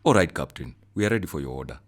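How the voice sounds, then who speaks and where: A man's voice: very deep voice, expressive, very fast, recorded from a distance. A man playing a dutiful police henchman in a motion comic, deferring to his superior. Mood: wary, obedient. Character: dutiful male police henchman awaiting orders. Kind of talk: dramatic motion comic dialogue.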